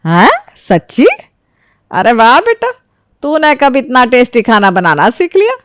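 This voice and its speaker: A woman's voice: a little high, wildly swooping pitch, measured pace. A woman playing a doting, easily swayed older mother-in-law in a dramatized moral story. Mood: delighted.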